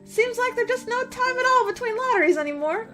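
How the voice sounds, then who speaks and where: A gossipy rural American housewife in a radio drama. Her voice is extremely high-pitched and folksy, with music behind it. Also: lively intonation, a little fast, sharp tone, full character voice. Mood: chatty. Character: chatty, folksy village housewife at a gathering.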